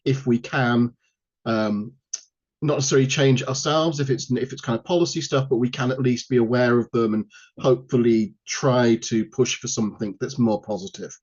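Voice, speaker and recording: A man's voice: mid-range voice, moderate pace, darkish tone. A man giving an informal academic talk as a guest lecturer, self-deprecating and prone to digressions. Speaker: university lecturer, guest speaker. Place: online video call. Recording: dull, lo-fi online call audio.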